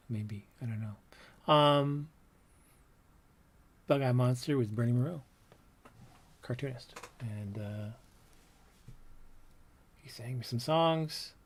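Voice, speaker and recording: A man in his fifties with a low, soft voice, reminiscing casually on a livestream about his old art, with many ums and trailing thoughts. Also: slightly rough. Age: 50s. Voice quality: low, soft voice that doesn't project. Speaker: comics artist showing his old work.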